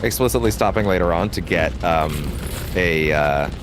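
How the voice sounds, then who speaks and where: A man's voice: deep voice, normal speed. A man casually commenting on a game he is playing, rambling with many restarts and swearing freely. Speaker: let's play host. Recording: steady background noise.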